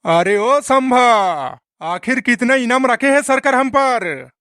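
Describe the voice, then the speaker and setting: A man's voice: extremely high pitch, lively intonation, slow pace, background noise, exaggerated voice. A man voicing a swaggering, menacing but foolish bandit boss in a comic cartoon, drawling and taunting in an exaggerated way. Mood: boastful.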